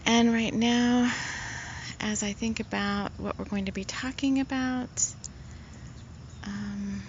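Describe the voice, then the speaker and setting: A woman spiritual healer in casual, rambling talk, soft-spoken and full of fillers. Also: fairly high pitch, fairly even intonation, slow pace, dull audio, a little hiss or hum.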